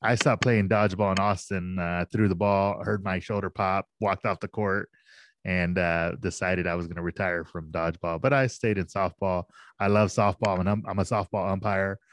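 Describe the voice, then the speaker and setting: A man's voice: sits low, steady tone, normal speed, no room sound. A man in his forties, a sports league director of operations, chatting casually and self-deprecatingly in an interview. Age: 40s. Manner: easygoing, self-deprecating, laughs often.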